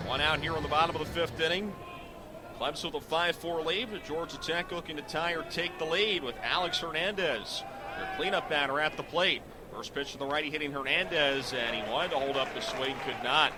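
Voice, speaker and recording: A middle-aged man calling baseball live on the radio as play-by-play announcer, in clipped descriptive phrases that rise into excited calls. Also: a little high, moderate pace, music in the background. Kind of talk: live radio sports commentary.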